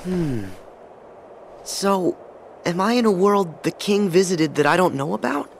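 A man's voice: high voice, lively intonation, unhurried, creaky, slight background noise, heavily acted. A young man voicing an eager, kind teenage boy hero who talks earnestly and asks questions. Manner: earnest, inquisitive. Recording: game audio with music behind.

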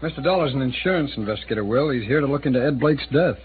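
A man's voice: mid-range voice, lively intonation, very fast, natural voice. An actor playing an evasive, placating male town official in a 1950s radio drama, deflecting questions. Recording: tinny old radio broadcast with clear hiss.

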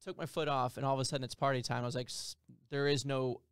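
A man's voice: medium pitch, flat delivery, fast talker, smooth, no room sound. A man in his thirties hosting a wedding podcast, telling stories full of quoted asides and fillers into a studio mic. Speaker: podcast host, DJ. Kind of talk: casual podcast chat. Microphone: studio mic on boom arm, headphones.

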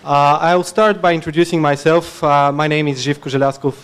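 Young Bulgarian man, halting podium speech. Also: a little high, fairly even intonation, clear, resonant tone. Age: young adult. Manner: earnest, many filler "uh"s and restarts. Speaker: student election candidate. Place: dim student union venue, indoors. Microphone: podium mic on stand.

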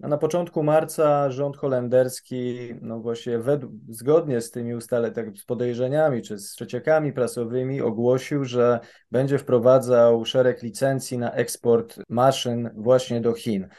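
Hesitant, mellow-voiced male analyst. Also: medium pitch, fairly even intonation, moderate pace, few pauses. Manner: hesitant, many fillers and restarts. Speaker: policy analyst guest. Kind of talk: spoken expert commentary.

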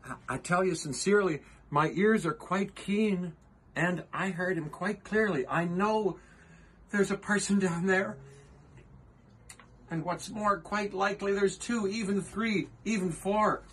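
Big gentle storybook elephant, male reader putting it on: sincere, anxious, pleading, raised pitch. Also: unhurried, slight background noise. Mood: earnest. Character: earnest, worried, kind-hearted big male elephant. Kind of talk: storybook character reading.

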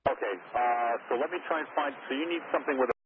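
A man's voice: medium pitch, fast talker. A man working as an air traffic controller, giving informal instructions over aviation radio. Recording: narrow tinny radio transmission, heavy static noise.

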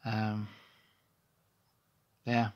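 A man's voice: lowish voice, completely flat delivery, drawn-out words, a little mellow. A man in his thirties talking candidly into his phone in a small bedroom, hesitant and rambling with many restarts. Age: 30s. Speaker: vlogger. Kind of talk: candid personal vlog monologue. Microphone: phone held close to face.

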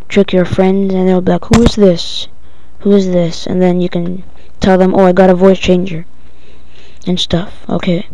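Flat-toned tutorial voice, female-sounding, dull recording. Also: medium pitch, dry recording. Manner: halting, improvised step-by-step instructions. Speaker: tutorial presenter. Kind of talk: casual screen-recorded software tutorial.

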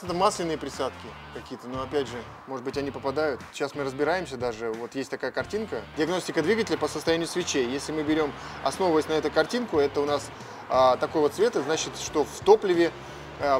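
A man's voice: medium pitch, background noise. Chatty man explaining his car engine. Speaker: car vlogger. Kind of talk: casual car vlog explanation. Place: car service garage, indoors, and outdoors near car.

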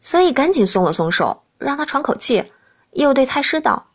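A woman's voice: high-pitched, very animated, normal speed. A woman reading a novel aloud in the first person as scripted narration. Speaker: audiobook narrator.

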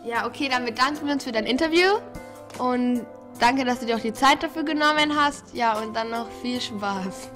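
A woman's voice: fairly high pitch, moderate pace, over music. A teenage girl asking polite, plain interview questions.

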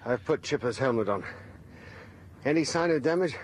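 An actor playing a brisk, commanding spaceship captain in a 1980s radio drama, with an authoritative, clipped manner. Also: male voice, mid-range voice, animated, slight background noise, lightly acted. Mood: subdued relief.

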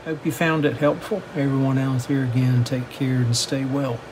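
A middle-aged man giving a hands-on technical demonstration in a measured, matter-of-fact, step-by-step manner. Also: mid-range voice. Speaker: host of a radio repair channel. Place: indoor workbench. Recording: steady air-conditioning-like background hum.

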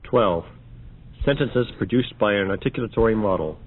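A man's voice: lowish voice, normal speed, slightly rough. A man narrating an audio demonstration, reading scripted announcements. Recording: dull, noisy old record transfer.

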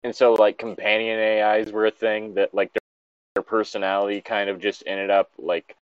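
A man's voice: on the low side, little pitch movement, moderate pace, smooth, dry recording, dull audio. A man giving casual, sweary and sardonic commentary as he reads and reacts to a story. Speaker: host reading and reacting to a horror story.

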